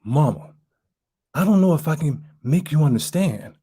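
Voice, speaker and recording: A man's voice: fairly high pitch, lively intonation, moderate pace, warm tone, slightly rough. A man playing an earnest, yearning son pleading with his mother in an emphatic dramatic audition monologue.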